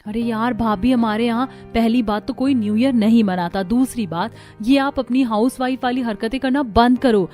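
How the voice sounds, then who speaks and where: A woman's voice: on the high side, moderate pace, hardly any pauses, warm tone, close to an everyday voice. A woman voicing a demanding college-age sister-in-law in a cartoon story, casual and pushy in manner. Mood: breezy, pushy. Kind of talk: Hindi cartoon story dialogue. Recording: music behind the voice.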